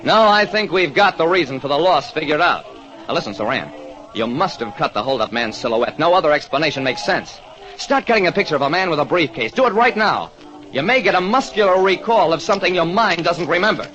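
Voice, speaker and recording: An actor playing a terse American private detective, narrating an old radio crime drama. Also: male voice, a little high, expressive, fairly quick, pushed and bright. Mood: probing. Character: wry, quick-thinking American private detective. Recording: dull vintage radio recording, music behind.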